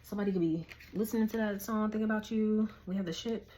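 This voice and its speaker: A woman's voice: medium pitch, fairly even intonation, quick pace, mellow, slight background noise. A woman, giving a casual, slangy tarot reading and thinking aloud as she goes. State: hoarse. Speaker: tarot reader.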